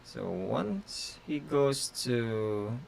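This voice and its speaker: A chess grandmaster whose first language is Tagalog, streaming blitz games and thinking aloud in short, halting phrases full of fillers. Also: male voice, medium pitch, sing-song, vocal fry, very noisy. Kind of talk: casual live-stream commentary.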